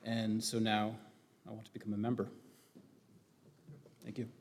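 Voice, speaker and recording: A man from Hawaii giving an earnest, measured faith testimony in church, with occasional fillers. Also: on the low side, monotone, darkish tone. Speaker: new church member candidate. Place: church sanctuary. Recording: long reverb of a large hall.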